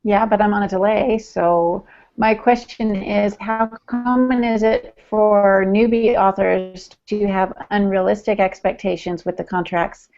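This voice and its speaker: A woman's voice: mid-range voice, steady tone, a little slow, a little mellow. A middle-aged woman asking questions casually as a podcast co-host on a video call. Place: room at home. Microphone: video call with earbuds. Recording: dull, delayed video-call audio.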